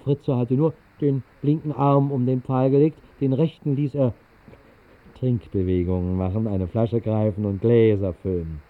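A man's voice: medium pitch, fairly even intonation, measured pace, phone-quality sound, lightly acted. Man playing dry narrator, thin old recording. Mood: wry narration. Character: wry vagrant poet narrating his morning. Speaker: first-person narrator. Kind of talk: 1960s literary radio drama narration.

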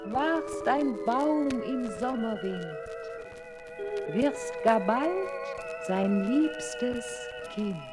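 An actress playing a gentle, solemn good fairy with measured, incantatory speech in a children's radio play, with music behind her. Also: on the high side.

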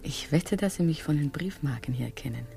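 A woman playing a coquettish, theatrically passionate Spanish queen in a comic radio play, breathy and suggestive. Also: low-pitched, lively intonation, a little fast, dark tone, faint music behind. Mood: amorous.

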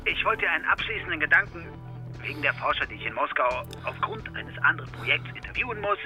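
An actor playing a fussy, worried boyfriend on the telephone in a radio drama, hesitant and full of questions. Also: male voice, fairly high pitch, sing-song, fast talker, bright, forceful tone, soft background music, heavily acted, low-quality recording. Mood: self-absorbed. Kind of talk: radio drama phone dialogue.

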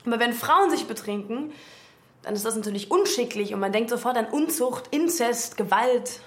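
An actress playing a self-assured, sharp-tongued young woman in her twenties in a radio drama, speaking articulately. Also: a little high, expressive, normal speed, lightly acted. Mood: sardonic, indignant. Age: 20s. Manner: argumentative, sardonic, articulate.

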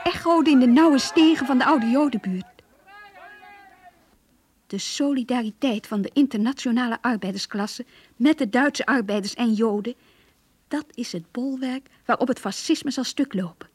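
An idealistic woman in a radio drama, telling of events with solemn conviction. The voice is fairly high with a husky edge. Her phrasing is literary and declamatory. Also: moderate pace, long pauses, darkish tone, dry recording. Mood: stirred conviction. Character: fervent, idealistic anti-fascist woman recounting a rally. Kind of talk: radio play dramatic monologue.

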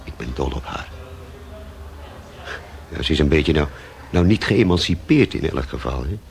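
A very low-voiced barman in a radio drama, with a creaky, gravelly edge. He rambles and corrects himself, talkative and cynical, with the hesitant delivery of everyday talk. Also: animated, normal speed, exaggerated voice. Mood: fond, musing. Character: talkative café barman, cynical, fond of missing bandmate. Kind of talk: naturalistic radio drama acting.

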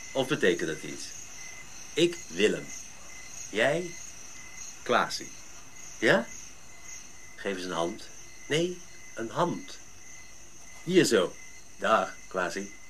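An actor playing a gentle, measured member of a Dutch expedition in a radio drama. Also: male voice, mid-range voice, big pitch swings, slight background noise, exaggerated voice. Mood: gentle, coaxing. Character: Willem, gentle Dutch expedition member recounting his journey. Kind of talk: radio drama narration and dialogue.

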